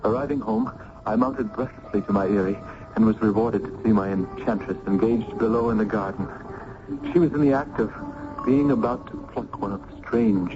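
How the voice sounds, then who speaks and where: Earnest young student, muffled radio. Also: male voice, on the low side. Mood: breathless recounting. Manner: formal, ardent, florid diction. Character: earnest, smitten young Italian male student. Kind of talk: old-time radio drama acting. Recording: dull, noisy old radio recording.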